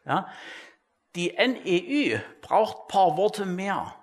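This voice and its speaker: A man giving an explanatory church talk in a deliberate, didactic way, often tagging his points with a short "ja". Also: high-pitched, animated. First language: German. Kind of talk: explanatory church lecture on Bible translation.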